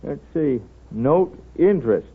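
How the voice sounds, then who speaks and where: An American actor playing a wise, wry, middle-aged small-town doctor in 1930s radio drama style, with folksy, hesitant mumbling. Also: male voice, a little high, lively intonation, slow pace, breathy edge, full character voice. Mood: genial, absent-minded. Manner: folksy, hesitant, absent-minded mumbling asides. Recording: tinny, narrow-band 1930s radio recording.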